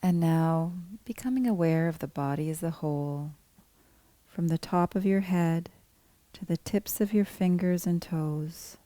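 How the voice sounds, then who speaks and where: A woman guiding a body scan meditation as a teacher, speaking calmly in measured, gently spaced phrases. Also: fairly low pitch, dry recording. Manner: calm, unhurried, gently measured phrasing with pauses. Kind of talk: guided meditation, scripted instruction.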